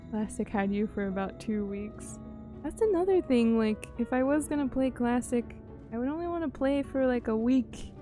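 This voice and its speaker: A woman livestreamer talks casually over a game, with clear music playing behind her. Her voice is soft-edged and mellow, and she takes her time, musing informally and often letting thoughts trail away. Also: a little high, expressive, slow, long pauses. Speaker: live game streamer.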